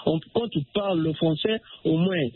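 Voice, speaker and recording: A man's husky voice comes through a thin, tinny phone line with a dry sound. He is a caller from the Republic of the Congo on a radio phone-in, and he talks haltingly with lots of fillers and restarts. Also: a little high, no echo. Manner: hesitant, many fillers and restarts. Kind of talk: phone-in call on a radio show. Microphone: telephone.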